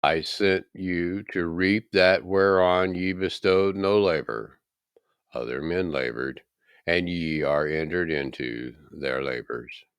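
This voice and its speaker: Man, very low smooth voice, solemn Bible narration, measured dramatic pauses, dry close sound. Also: no room sound. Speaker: scripture reader. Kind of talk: solemn scripted Bible reading.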